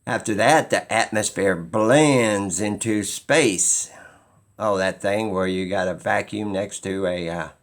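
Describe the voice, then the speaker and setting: A man talking informally and skeptically as he explains something, halting and pausing mid-sentence as he muses. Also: fairly low pitch. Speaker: video host.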